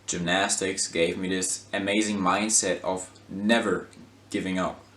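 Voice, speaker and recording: A man from Germany, a gymnast speaking in a steady, motivational way. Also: low-pitched, unhurried, reverberant.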